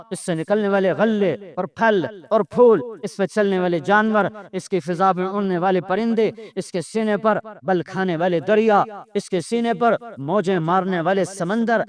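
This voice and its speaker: A man with a Pakistani accent preaching an Islamic sermon with Arabic recitation, emphatic and repeating phrases for effect. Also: sits high. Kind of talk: impassioned Urdu religious sermon with Arabic recitation.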